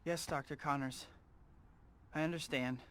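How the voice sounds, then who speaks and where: An actor playing an earnest, wry young superhero student with an American accent in a video game. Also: male voice, on the high side, steady tone, unhurried. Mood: apologetic. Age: young adult. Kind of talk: cinematic voice-over narration and dialogue. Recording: loud music underneath.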